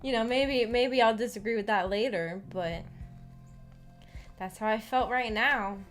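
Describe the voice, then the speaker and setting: Woman, higher-pitched voice, casual streamer chat, animated, giggly, hesitant thinking aloud, faint background music. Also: unhurried. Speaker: streamer hosting a visual novel book club discussion. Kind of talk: casual rambling discussion.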